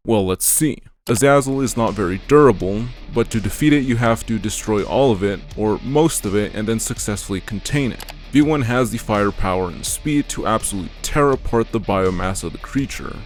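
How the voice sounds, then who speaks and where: A man reading scripted narration for an analysis video in an explanatory, measured way. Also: lowish voice. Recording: music behind the voice.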